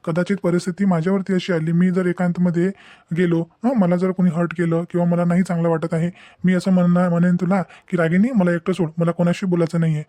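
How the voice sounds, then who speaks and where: A protective young husband, acted by a man, talking quickly in a high but mellow voice. He reasons and gently scolds like a lecturer in a cartoon melodrama, checking often with short questioning hums. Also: little pitch movement, dry recording, lightly acted. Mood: scolding, reassuring. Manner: insistent, lecturing, peppered with questioning "hm". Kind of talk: amateur Marathi cartoon melodrama dialogue.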